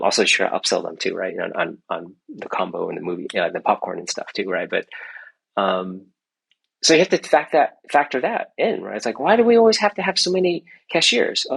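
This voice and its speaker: A man's voice: fairly low pitch, creaky, fairly bright tone, breathy edge, low-quality recording. A middle-aged man, an entrepreneur guest in a casual interview, rambling with many restarts and tag questions. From United States.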